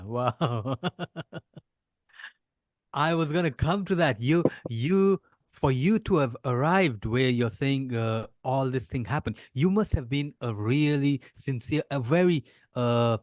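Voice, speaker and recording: A man's voice: medium pitch, a little mellow, no room sound. A man from Kenya hosting an interview, affirming his guest and laughing along, asking long rambling questions. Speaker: podcast host. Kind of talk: casual interview chat. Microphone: video call.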